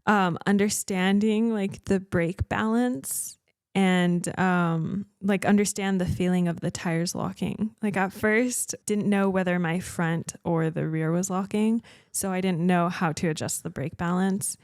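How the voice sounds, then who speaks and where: A young woman from the States talks casually about racing, her voice a little low with a gravelly edge. She hesitates often and fills her pauses with "um" and "like", and the sound is dry and close. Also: fairly even intonation, a little mellow, no room sound. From United States. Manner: hesitant, many fillers, searching for words. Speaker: racing driver, guest. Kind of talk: casual interview chat.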